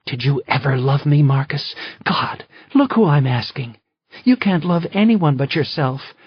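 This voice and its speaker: A man's voice: mid-range voice, normal speed, creaky, husky, no echo, muffled recording. A man voicing a furious, grieving, betrayed wife who speaks with intense accusation.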